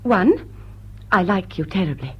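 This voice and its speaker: An enigmatic society widow in a period drama, composed and wry. Her voice is husky with lively intonation, and she teases with cool wit. The sound is slightly dull. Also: on the low side, unhurried, full character voice. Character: poised, enigmatic society widow, teasing a suitor. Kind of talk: period drawing-room radio drama. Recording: dull, muffled audio.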